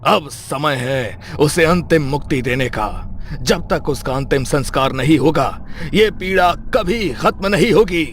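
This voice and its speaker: A man's voice: on the high side, expressive, measured pace, fairly bright tone, slight background noise. A man voicing a solemn tantric holy man in a cartoon horror story, speaking in grave, commanding, formal pronouncements. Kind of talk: dramatised horror story narration. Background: music under the voice.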